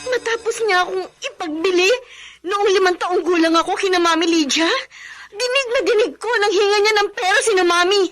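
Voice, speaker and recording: A woman's voice: very high voice, a little fast, fairly bright tone, soft background music. An actress playing a young woman, a daughter who just became an accountant, in an emotional radio drama. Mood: tearful. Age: young adult. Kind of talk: classic radio drama acting.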